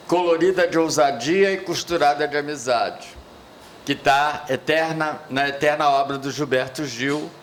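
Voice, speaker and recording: A man's voice: a little high, fairly bright tone. Older man from the audience, rambling and hesitant question, slightly raised voice, faint room hiss. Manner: reminiscing, hesitant, searching for words. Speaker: audience member asking a question. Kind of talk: rambling spontaneous question at a panel. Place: lecture hall at a public event.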